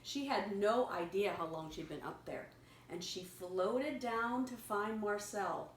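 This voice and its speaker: A woman reading a picture story aloud to a young child, pausing to explain words simply and prompt repetition. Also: medium pitch. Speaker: children's story reader and teacher. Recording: echoey room with clear background noise.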